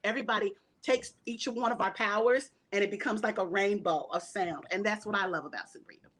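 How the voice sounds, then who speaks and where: A woman's voice: mid-range voice, a little fast, fairly bright tone. A woman cast member talking about her role in a casual video call interview, emphatic and laughing often.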